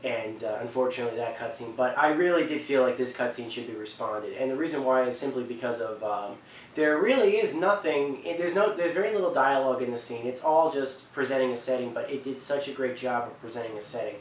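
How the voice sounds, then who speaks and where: A man in his twenties giving casual, unscripted countdown commentary, halting and rambling, from a couch in a living room. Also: medium pitch. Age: 20s. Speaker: video presenter. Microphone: camera mic at a distance. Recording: roomy, distant mic, faint noise.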